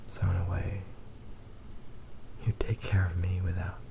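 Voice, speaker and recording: A man playing a possessive, devoted young fox spirit lover in a breathy, soothing whispered ASMR roleplay. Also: deep voice, completely flat delivery, heavy background noise. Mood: devoted, adoring. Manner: slow, tender, soothing, intimate.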